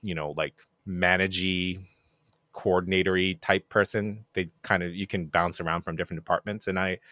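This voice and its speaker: A middle-aged man from Japan with a very low voice, a native Japanese speaker talking in English. He chats easily with a self-deprecating humor and plenty of fillers. The sound is close and dry, with no room echo. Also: normal speed. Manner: relaxed, self-deprecating, many fillers and restarts. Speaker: podcast guest, game producer. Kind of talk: casual interview chat.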